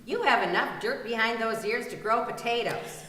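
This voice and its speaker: A woman's voice: fairly high pitch, moderate pace. A woman reciting short sayings as part of a theatrical readers theater group. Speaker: readers theater performer at church. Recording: echoey church hall, faint noise.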